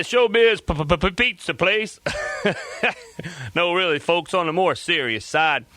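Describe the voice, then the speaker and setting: A folksy stammering bear host. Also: male voice, on the high side, bright, forceful tone, dry recording. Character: jovial animatronic bear band host, male. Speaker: stage show host. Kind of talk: scripted stage banter.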